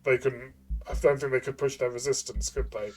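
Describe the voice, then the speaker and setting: A man's voice: mid-range voice, fairly even intonation, fairly quick, a little mellow. Male co-host for a review discussion. Middle-register voice, conversational, slightly hesitant, works out his points aloud. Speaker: podcast co-host and game reviewer.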